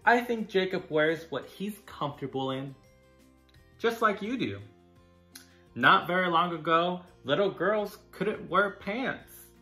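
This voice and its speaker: A patient, kindly storybook lady teacher, put on by a man. The voice sits raised and lilting, explaining things at an unhurried pace. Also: high voice, animated, sharp tone, soft background music, voice pushed up, full character voice. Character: Miss Wilson, kindly, patient female schoolteacher.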